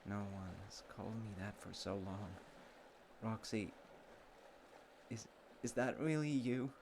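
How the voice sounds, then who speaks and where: A man playing a sweet, earnest childhood friend in love, speaking haltingly and tenderly in an intimate romantic audio roleplay. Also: lowish voice, darkish tone, a little hiss or hum. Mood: amazed, moved. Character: sweet, slightly slow childhood friend, male, sincerely in love.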